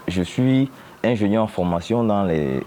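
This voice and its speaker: A man's voice: on the low side, expressive, unhurried, mellow, slight background noise. A man from northern Cameroon, introducing himself with some hesitation. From Far North Cameroon. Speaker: engineer.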